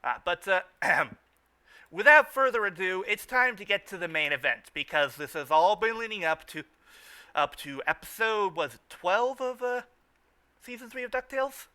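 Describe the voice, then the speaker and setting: A man's voice: sits high, animated, normal speed, pushed and bright, clean tone. A man hosting a casual fan show, stammering and often repeating his phrases as he comments. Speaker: host of a fan riffing show.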